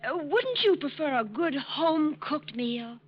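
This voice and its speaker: Fluttery gullible single schoolteacher, 40s radio play, lively pitch, muffled old recording. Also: female voice, measured pace. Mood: smitten. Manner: fluttery, flustered, hesitant. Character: eager, easily flattered unmarried schoolteacher. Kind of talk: 1940s radio acting.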